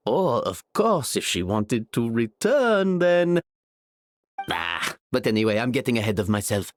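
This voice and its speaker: Courteous, expressive gentleman manager. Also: medium pitch, slight background noise. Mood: earnest, hopeful. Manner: polite, measured, formal. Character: courteous, diplomatic male hotel boss, mild and apologetic. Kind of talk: video game voice acting.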